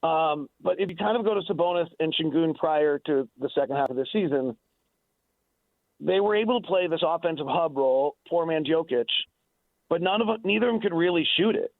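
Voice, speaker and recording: Phone-like radio sound, male sports analyst, fairly high voice, emphatic, restarts phrases, off-the-cuff. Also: fairly quick, dry recording. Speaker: sports analyst and radio play-by-play announcer interviewed on a show. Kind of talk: unscripted sports talk analysis. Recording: tinny, dry phone-like radio line.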